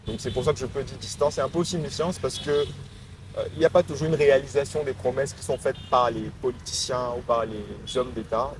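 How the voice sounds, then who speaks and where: A man's voice: mid-range voice, steady tone, a little fast. A man in his twenties with a Cameroonian accent, giving articulate, reflective answers as an interview guest. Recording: noisy urban ambience behind voice.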